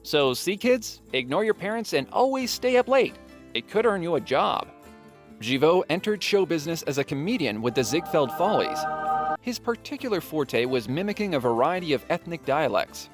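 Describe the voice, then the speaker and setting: A man's voice: a little high, sing-song, moderate pace, faint music behind. A man reading scripted documentary narration in a playful, wry manner, with joking asides.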